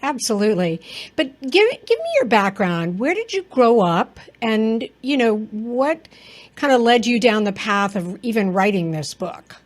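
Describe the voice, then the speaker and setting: A woman's voice: fairly high pitch, sing-song, measured pace. Upbeat woman hosting a podcast. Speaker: podcast host. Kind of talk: podcast intro and interview.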